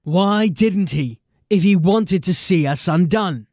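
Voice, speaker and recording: A man playing a determined, embattled police sergeant in a dramatic audio drama, speaking earnestly and deliberately. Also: high voice, a little mellow, dry recording, clean studio sound. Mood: earnest, urgent.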